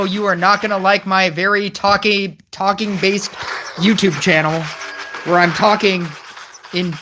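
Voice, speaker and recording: A mobile gaming streamer chatting casually while reading viewer messages, rambling with lots of "like" fillers and restarts, and adding wry, amused asides. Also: medium pitch. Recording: noisy stream audio with clicks.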